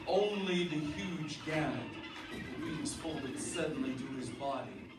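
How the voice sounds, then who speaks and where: A male actor plays a dogged farmhand husband under siege, fearful and urgent, in an old-time radio play. His voice sits fairly high, heard slightly off the mic in a very roomy space, with music underneath. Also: expressive, a little slow, far from the mic. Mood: desperate, breathless. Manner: dramatic, urgent delivery. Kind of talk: old-time radio drama acting, narration and dialogue.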